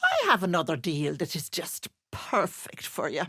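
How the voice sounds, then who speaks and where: An actress playing a sly old hag who poses as a sweet auntie in a video game, cooing and wheedling with menace underneath. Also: female voice, on the low side, wildly swooping pitch, moderate pace, sharp tone, husky, full character voice. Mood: sly, coaxing. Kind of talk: theatrical video game character acting.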